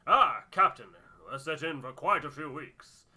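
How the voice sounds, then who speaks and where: A man's voice: on the high side, expressive, unhurried, sharp tone, voice pushed up, full character voice. A man voicing a jovial older expedition storyteller in a game, with a hearty, posh, wordy manner. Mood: hearty, chummy. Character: jovial older male expedition storyteller, old-fashioned gentlemanly chap.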